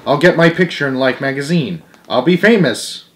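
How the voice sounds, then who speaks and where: A young man voicing a stern, brisk female first-grade teacher in a storybook, with emphatic classroom commands. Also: mid-range voice, animated, close to an everyday voice. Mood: musing.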